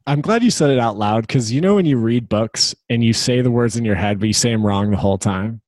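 A woman's voice: extremely low pitch, a little fast, darkish tone, no room sound. A woman hosting an interview, asking casual, chatty questions and laughing along. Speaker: podcast host.

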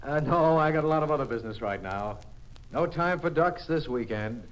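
A man playing a brisk, direct, plain-spoken federal agent in an old radio crime drama. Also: on the high side, expressive, natural voice, rough recording. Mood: casual, friendly.

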